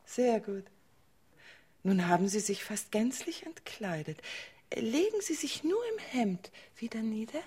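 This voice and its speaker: A high-voiced, capricious seductive queen. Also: lively intonation, measured pace, dark tone, slight background noise. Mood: teasing, confiding. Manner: coaxing, teasing, breathy asides and giggles. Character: seductive, capricious, commanding queen, flirting with a visitor. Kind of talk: German radio play acting.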